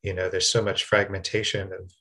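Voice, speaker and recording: A man interviewing a writer, asking measured, reflective questions. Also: low voice, little pitch movement, sharp tone.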